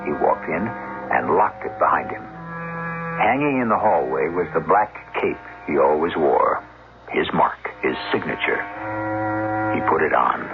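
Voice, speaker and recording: A man hosting and narrating a suspense radio play in a measured, dramatic, scripted way. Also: a bass voice, lively intonation, music in the background. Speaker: host and narrator. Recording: muffled lo-fi old radio recording.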